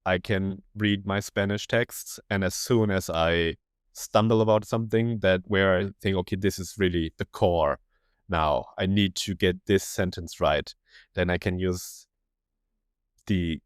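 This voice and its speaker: Young man, low dry voice. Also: fairly even intonation, unhurried, clean tone, dry recording. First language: German. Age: young adult. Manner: thoughtful, searching for words. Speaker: guest researcher. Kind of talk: casual podcast conversation.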